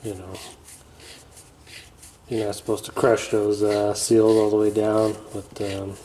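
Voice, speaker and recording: Laid-back low-voiced man mumbling through a repair. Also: slow pace, a little hiss or hum, low-quality recording. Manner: mumbly, relaxed, trailing off with fillers. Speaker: channel host. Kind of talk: casual hands-on repair vlog. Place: garage, working on a floor bench.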